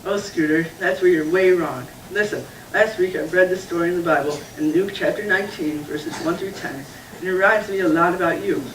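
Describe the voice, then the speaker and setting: A man with a high voice patiently tells a Bible story as a puppet character. He sounds far from the mic, in an echoey room. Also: brisk, fairly bright tone, a little hiss or hum. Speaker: puppeteer playing Carl. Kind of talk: church puppet show, Bible story telling.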